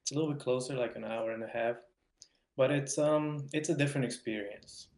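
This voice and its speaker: A man's voice: mid-range voice, a little fast, darkish tone. A young man from Hungary with a Hungarian accent, chatting casually as a podcast guest and often repeating words. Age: young adult. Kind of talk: casual interview chat. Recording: roomy, echoing voice.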